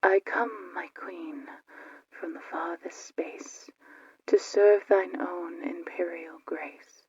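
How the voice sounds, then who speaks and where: A woman voicing a subservient magic mirror spirit in a fairy tale, speaking solemn archaic verse in a breathy whisper. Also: fairly low pitch, steady tone, a little mellow, no echo, muffled recording, exaggerated voice. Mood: solemn, ominous. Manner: slow, solemn rhyming verse in archaic wording.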